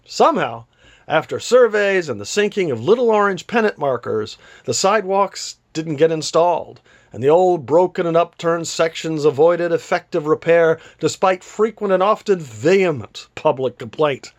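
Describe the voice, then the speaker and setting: A man's voice: a little high, lively intonation, moderate pace. A man reading a literary story aloud as an author would, with a theatrical delivery. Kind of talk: literary read-aloud, scripted narration.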